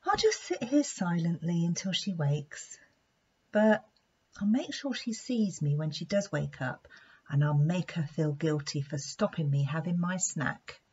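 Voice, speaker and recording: A woman acts out a young male animal from a children's storybook, greedy and scheming. The voice is husky and self-satisfied. The recording sounds a little dull. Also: lowish voice, expressive, a little slow, a little mellow, exaggerated voice. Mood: sulky, plotting.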